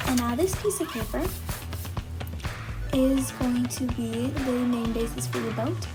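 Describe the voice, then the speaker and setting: A woman's voice: high voice, low-quality recording. A young woman reading a children's story and giving simple craft instructions. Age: young adult. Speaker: volunteer storybook reader and craft demonstrator.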